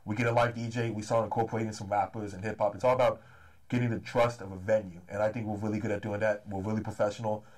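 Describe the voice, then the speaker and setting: A man's voice: fairly low pitch, low-quality recording. A man in his thirties from the Los Angeles area, a promoter chatting eagerly as an interview guest, often tacking "man" onto his sentences. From Los Angeles area, California. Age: 30s. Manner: fast, energetic, repeats "man" often. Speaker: comedy and hip-hop promoter, guest. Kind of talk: casual interview chat.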